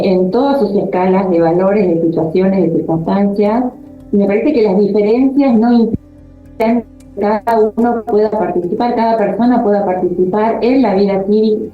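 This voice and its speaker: Woman commentator in a dull, roomy recording. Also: mid-range voice. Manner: hesitant, ends points with tag questions. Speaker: expert interviewee. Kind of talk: spontaneous interview commentary.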